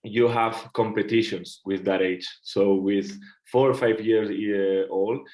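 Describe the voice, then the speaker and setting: A man with a Spanish accent and a fairly low voice answers interview questions in English. He talks haltingly, pausing to search for words and restarting phrases. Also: steady tone, a little mellow. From Catalonia, Spain. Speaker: guest, futsal player.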